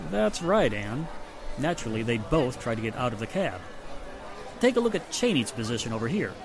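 An actor playing a matter-of-fact, assertive police inspector in the style of a 1940s radio mystery. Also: male voice, mid-range voice, very animated, fairly quick, noisy background. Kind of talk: 1940s radio drama acting. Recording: music and street sounds behind the voice.

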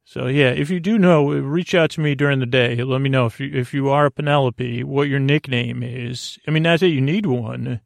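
A man hosting a sleep podcast in a meandering, halting monologue, whimsical and self-deprecating, stammering and circling back on his words. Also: medium pitch, steady tone, vocal fry, no room sound. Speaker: host of a bedtime story podcast.

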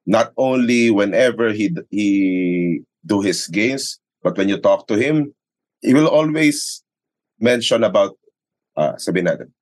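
A man with a low voice and a Filipino accent talks as a sports radio commentator in an interview. He explains things conversationally, slipping between English and Tagalog and punctuating his points with tag questions. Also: lively intonation, measured pace, a little mellow, rough recording. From Philippines. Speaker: sports radio play-by-play commentator and arena announcer.

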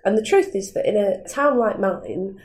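A woman's voice: medium pitch, expressive, a little mellow. A woman, speaking earnestly and at length as a politician in an interview. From Manchester, England. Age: 30s. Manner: earnest, measured, argumentative. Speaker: member of parliament.

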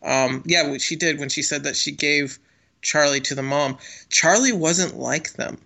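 A man's voice: on the high side, unhurried, creaky, pushed and bright. A man co-hosting a casual analytical chat, thinking aloud and often seeking agreement. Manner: thinking aloud, checking with "right?". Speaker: podcast co-host.

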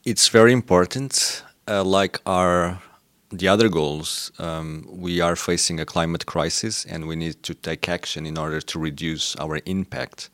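A man's voice: sits low, steady tone, measured pace, fairly bright tone, dry recording. A man, an associate professor, explaining his work in a precise, academic manner as a podcast guest.

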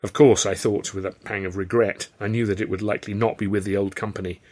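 A man's voice: fairly low pitch, flat delivery, quick pace, darkish tone. A man with an English accent narrating a first-person story from a script in a wry, literary style. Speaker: author and audiobook narrator.

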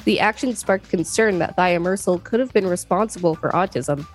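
A woman's voice: lowish voice, moderate pace, soft background music. A woman reading an encyclopedia article aloud as a scripted narration.

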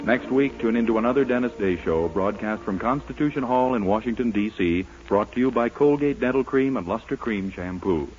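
A man's voice: medium pitch, fairly quick, hardly any pauses, a little mellow. An American man reading ads and announcements as a 1940s radio announcer. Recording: muffled 1940s radio broadcast, music behind.

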